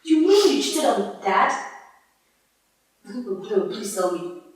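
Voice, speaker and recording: A shocked daughter in a melodrama, incredulous and disbelieving, her pitch leaping about. She sounds distant in a very echoey room. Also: very animated, slow. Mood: shocked disbelief. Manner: incredulous, swooping. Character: shocked, disbelieving grown child of a couple. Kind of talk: film melodrama acting.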